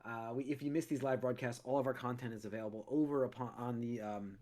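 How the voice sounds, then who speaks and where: A man hosting a movie podcast in casual chat, a little raspy as he gets over a cold, rambling with lots of restarts and fillers. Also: medium pitch, steady tone, fast talker, a little mellow. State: recovering from a cold, slightly raspy. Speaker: podcast host.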